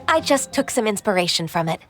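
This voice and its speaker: A woman voicing a gentle, modest young female secretary in a game cutscene, soft-spoken and polite. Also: mid-range voice, big pitch swings, brisk, faint music behind. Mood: explaining.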